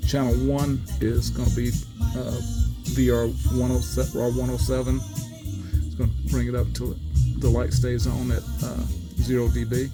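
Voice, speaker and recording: A man giving a casual hands-on technical tutorial in a methodical, matter-of-fact way, pausing as he goes. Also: medium pitch, little pitch movement, over loud music. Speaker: presenter demonstrating equipment calibration.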